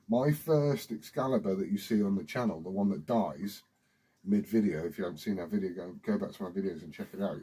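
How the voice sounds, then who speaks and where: Man, British accent, husky, slightly low pitch, dark tone, casual rambling chat, sweary. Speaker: CB radio hobbyist video host.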